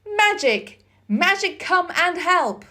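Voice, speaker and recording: Woman voicing an imperious, high-pitched young boy. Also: sing-song, slow, sharp tone, exaggerated voice. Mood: excited, commanding. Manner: emphatic, declaring. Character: imperious, earnest young boy determined to get well.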